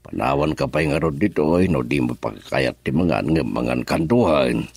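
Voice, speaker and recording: A patient dad, ilocano radio drama, deep slow talker, dry studio sound. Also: male voice, lively intonation, vocal fry, a little mellow, breathy edge, dry recording, exaggerated voice. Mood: consoling, concerned. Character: patient, consoling older father. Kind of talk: Filipino radio drama acting.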